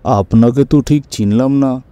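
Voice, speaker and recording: A man plays a courteous office worker with a proud love of kites in a radio drama. The voice is mid-pitched and mellow, with a conversational, plain-spoken manner. The sound is close and dry. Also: a little slow, clean tone, no room sound, natural voice. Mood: polite, curious. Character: courteous office-going man, keen proud kite flyer. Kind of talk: audio story dramatization.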